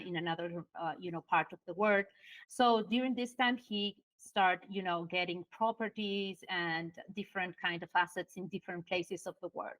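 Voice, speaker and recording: A woman with an Argentine Spanish accent walks through a long story in English, leaning on fillers like "you know" and "uh" as she goes. Her pitch sits in an ordinary middle range, and her phrasing shows that English is her second language. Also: fairly bright tone, poor audio. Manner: storytelling, many fillers, non-native grammar. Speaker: guest. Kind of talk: conversational explaining. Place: at home in Argentina.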